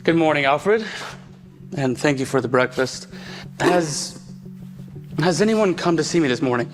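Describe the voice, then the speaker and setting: An actor playing a courteous, hesitant young lord and master of a house in an audio drama. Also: male voice, fairly high pitch, animated, unhurried. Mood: courteous, expectant. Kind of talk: dramatised audio fiction acting. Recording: long reverb with music behind.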